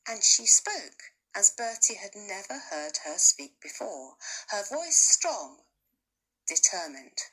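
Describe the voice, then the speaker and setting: A woman teacher reads a children's novel aloud with expressive storytelling. Her pitch rises and falls a lot, and she reads slowly. Her tone is bright with a slightly husky edge. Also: poor audio.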